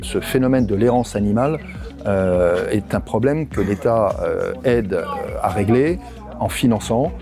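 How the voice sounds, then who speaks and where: A man speaking as a public official, formal and measured, giving a statement to the press. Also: fairly low pitch, lively intonation, gravelly, music in the background.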